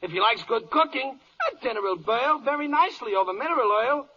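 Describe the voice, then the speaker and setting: An eager, florid spokesman in vintage radio drama. His high voice has a husky edge and pitches in rhyme. The recording is dull and old. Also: expressive, a little fast, exaggerated voice. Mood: eager, persuasive. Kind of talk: 1940s radio acting in rhymed verse.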